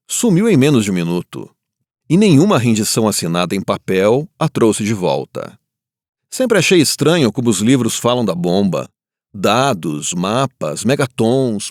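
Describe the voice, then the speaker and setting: Guy playing a grieving war survivor, solemn reflective storytelling, pitch moves a lot, really dry sound. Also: a little mellow, dry recording. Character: grieving Japanese survivor of the Nagasaki bomb, looking back. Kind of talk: scripted first-person dramatic narration.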